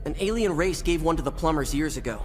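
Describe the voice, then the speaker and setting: A man's voice: a little high, lively intonation, fast, over music, close to an everyday voice. An actor playing a determined, confident, wisecracking teenage boy hero in a video game, earnest and casual. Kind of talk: video game cutscene acting.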